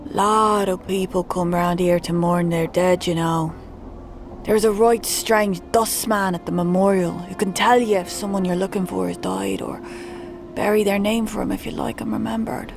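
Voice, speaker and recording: A woman's voice: medium pitch, moderate pace, over music, lightly acted. A woman playing a knowing, gossipy slum dweller who talks in street slang in a fantasy audio drama.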